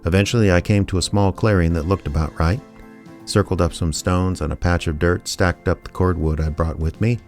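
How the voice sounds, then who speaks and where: An actor playing a laconic small-town private investigator, narrating his case in a deadpan, understated radio drama style. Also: deep voice, fairly quick, soft background music, natural voice. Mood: wry, measured. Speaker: narrator and lead character.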